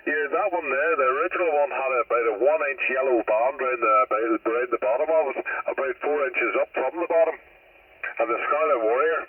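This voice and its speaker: A man's voice: medium pitch, fairly quick, breathy edge. A man with a Northern Irish accent chatting casually over two-way radio in a folksy, rambling way. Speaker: amateur radio operator. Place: mobile in a vehicle, over CB radio. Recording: muffled far-off two-way radio transmission.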